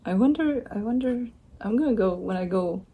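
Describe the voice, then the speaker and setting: A woman's voice: medium pitch, animated, normal speed, breathy edge, narrow, tinny audio. A woman giving a casual tarot reading at home, talking in a chatty, rambling way with blunt asides. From Romania. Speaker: tarot reader. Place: home by a window with a bird feeder.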